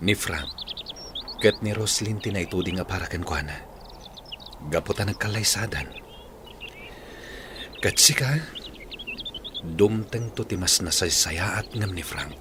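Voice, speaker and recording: A man acting a gentle, earnest young villager who consoles someone in a radio drama. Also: low voice, slow pace, pauses often, noisy background. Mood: earnest, consoling.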